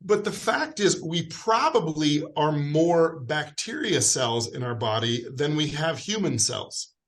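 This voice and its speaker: A man's voice: on the high side, lively intonation, unhurried, fairly bright tone. A man with an American accent, a guest expert on a video call, explaining in a friendly way with frequent fillers. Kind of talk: explanatory interview answers.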